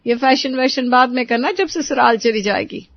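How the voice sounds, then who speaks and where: A woman voicing a judgmental middle-aged neighbourhood aunt who taunts and scolds, in an animated story. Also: sits high, normal speed, no room sound, highs cut off. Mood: nosy, scolding. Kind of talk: Hindi animated moral story dialogue.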